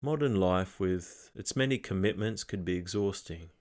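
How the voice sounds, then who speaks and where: A man narrating a scripted guided meditation, giving gentle instructions in a calm, measured manner. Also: deep voice.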